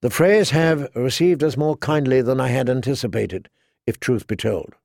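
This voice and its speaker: Man voicing a sober, measured noblewoman. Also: medium pitch, vocal fry, a little mellow, no echo, studio quality, lightly acted. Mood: reluctant. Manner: measured, formal, cautioning. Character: composed, sober noblewoman, older sister. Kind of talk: audiobook character dialogue.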